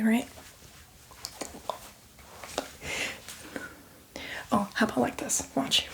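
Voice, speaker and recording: A woman playing a boastful, playfully menacing female zombie in a chatty, teasing ASMR roleplay, speaking in a breathy, hushed whisper. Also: on the high side, lightly acted. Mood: gleeful, teasing. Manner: chatty, teasing, addresses the listener as victim.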